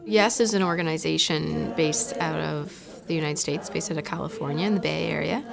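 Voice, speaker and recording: Low-voiced woman organizer, crowd behind. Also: normal speed, creaky. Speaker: gathering organizer. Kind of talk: interview, explaining the gathering.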